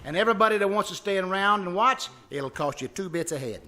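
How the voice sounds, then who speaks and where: A man's voice: high voice, animated, fast, bright, forceful tone. A man playing a boastful, blustering husband with folksy, ungrammatical talk in an old-time radio comedy. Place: live stage performance at a winery.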